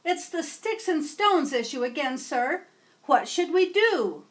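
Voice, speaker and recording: Woman reading as an urgent, high-pitched lady official. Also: big pitch swings, slow pace, heavily acted. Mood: worried urgency. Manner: urgent, formal, emphatic. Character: alarmed Secretary of State woman, urgent official.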